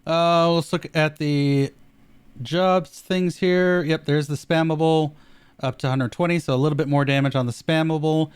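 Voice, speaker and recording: A man's voice: on the high side, steady tone, moderate pace, clean tone, no room sound. A man co-hosting a casual gaming podcast, reading notes aloud with easygoing asides. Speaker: podcast co-host.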